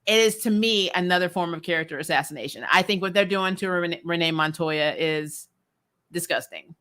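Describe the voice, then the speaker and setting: A woman's voice: lowish voice, a little fast, bright, forceful tone. A woman giving a casual, opinionated recap to livestream viewers, speaking bluntly with swearing and often laughing as she talks. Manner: laughs often while talking. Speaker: host of a comics TV recap show.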